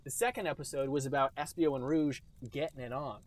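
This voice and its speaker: A man's voice: mid-range voice, fairly quick. A man hosting a podcast in casual, comic chat, recorded in a small closet at home. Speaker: podcast host.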